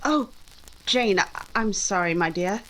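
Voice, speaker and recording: Woman as a proud young lady, slow and expressive. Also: medium pitch, poor audio. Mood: wistful. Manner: measured, earnest, polite. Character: proud, sensible, composed young Regency daughter. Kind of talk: recreated 1940s radio play acting.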